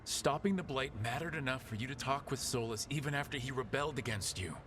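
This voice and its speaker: A man's voice: mid-range voice, fast, a bit bright, slight background noise, natural voice. A man playing a determined, heroic protector in a video game, speaking directly and insistently as he pleads a case. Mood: earnest, persuasive. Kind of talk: dramatic video game acting.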